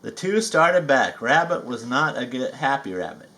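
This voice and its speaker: A man reading a children's story aloud with folksy, chatty asides and drawn-out emphasis. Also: mid-range voice, very animated, sharp tone, a little hiss or hum. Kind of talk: animated read-aloud narration with chatty asides.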